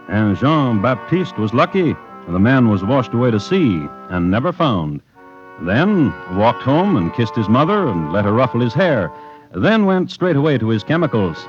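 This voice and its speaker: A man narrating true crime as a radio host in a deliberate, dry, wry storytelling style from mid-century radio. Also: mid-range voice. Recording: muffled vintage radio, music behind.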